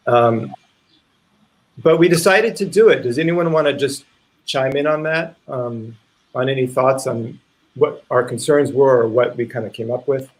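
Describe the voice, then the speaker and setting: A man giving an informal spoken introduction to an online play reading as its playwright, halting and thinking aloud. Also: mid-range voice.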